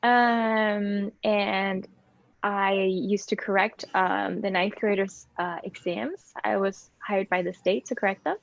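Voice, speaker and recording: A woman's voice: medium pitch, steady tone, slow, creaky, no room sound. A woman who grew up between Denmark and Germany introduces herself as a language teacher, chatty and a little self-deprecating. Her voice comes through a dull, muffled video call with a dry, close sound.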